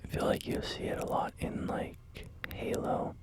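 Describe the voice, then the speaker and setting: A man whispering through a relaxed ASMR gaming video, rambling and joking about himself with many fillers. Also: measured pace. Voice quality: soft unvoiced whisper. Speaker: ASMR creator.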